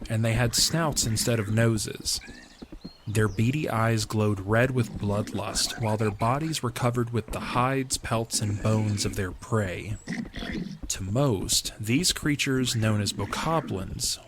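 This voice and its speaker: A man reading scripted fantasy story narration in a measured storytelling manner. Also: lowish voice. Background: background music.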